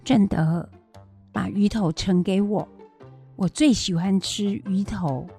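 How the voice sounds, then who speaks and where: A woman playing a kindly grandmother in a children's educational radio drama, with a husky, plain-spoken delivery. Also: mid-range voice, slow pace, dark tone, soft background music. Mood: mock scolding. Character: kindly grandmother raising her grandchildren, fond of fish heads.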